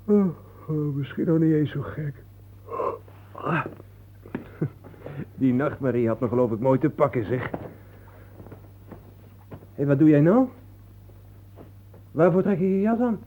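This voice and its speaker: A male actor in a Dutch radio play, playing a skeptical, excitable photographer who talks bluntly and colloquially, full of questions. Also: fairly high pitch, moderate pace, pauses often. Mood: skeptical, puzzled. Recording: noisy, thin phone-like old radio recording.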